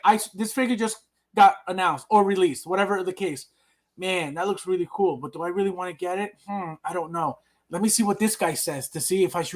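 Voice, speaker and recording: A man from Colombia hosting a laid-back podcast, with a voice that sits notably high for a man. He rambles through his points and acts out what other people might say. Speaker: podcast host. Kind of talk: casual podcast chat.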